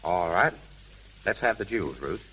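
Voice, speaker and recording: Smooth guarded suitor, low voice, lively delivery, old 1930s radio play sound. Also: male voice, normal speed. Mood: casual, smooth. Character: suave, guarded adult son and gentleman suitor. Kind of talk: 1930s American radio drama acting. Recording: hissy, crackling 1930s radio recording.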